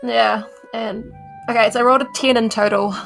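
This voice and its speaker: A woman's voice: on the high side, steady tone, brisk, creaky, a bit bright, soft background music. A woman chatting casually as a player in a tabletop game.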